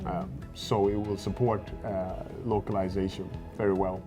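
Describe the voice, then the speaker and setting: A man in his forties from Sweden, a startup founder speaking at a fireside chat interview, earnest and often pausing on "uh" and repeated words as he thinks. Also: low voice, flat delivery, music in the background. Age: 40s. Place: event stage at a fireside chat.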